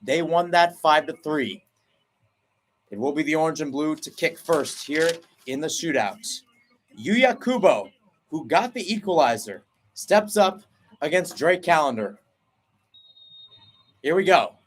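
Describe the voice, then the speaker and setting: A young man doing excited play-by-play commentary of a soccer penalty shootout, with his pitch and volume leaping at each kick. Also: a little slow, long pauses, a little hiss or hum. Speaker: radio play-by-play announcer. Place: at home, not at the stadium.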